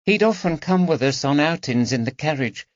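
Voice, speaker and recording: A man's voice: fairly high pitch, animated, normal speed, no room sound, voice pushed up, natural voice. A man voicing a timid, hesitant nineteen-year-old lady's maid who speaks in plain working-class talk. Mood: anxious. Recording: dull, dry audiobook recording.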